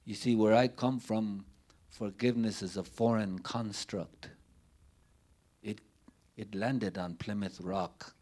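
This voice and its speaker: A middle-aged man speaks in a fairly low voice at a very slow pace. He is giving an unscripted talk at a community forum, telling personal stories into a handheld microphone. His delivery is deliberate and halting, laid out in short phrases with pauses between them. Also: fairly even intonation, darkish tone. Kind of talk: unscripted public speech, personal storytelling. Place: indoor forum room, before a banner. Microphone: handheld microphone held near the mouth.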